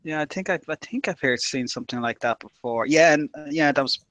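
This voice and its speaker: A man's voice: a little high, a little fast, fairly bright tone, no echo. A man talking casually and anecdotally as a podcast co-host.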